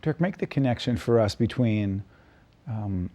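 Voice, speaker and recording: A man's voice: lowish voice, lively intonation, unhurried, mellow. An American man hosting an interview, asking direct questions and pressing his guest for clarification. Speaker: interview show host. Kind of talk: probing television interview.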